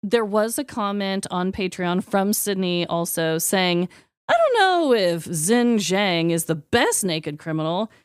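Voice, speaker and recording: A woman hosting a podcast in casual comedic banter, with an expressive, irreverent way of talking. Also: mid-range voice, measured pace, smooth, no room sound, studio quality. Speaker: podcast host. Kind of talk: casual comedic banter, presenting joke awards.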